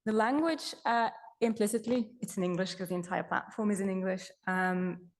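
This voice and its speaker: A woman's voice: mid-range voice, normal speed. A young woman from Belgium, a native Dutch speaker, presenting her work as a repository manager in a chatty, self-correcting way with frequent fillers. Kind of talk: conference presentation.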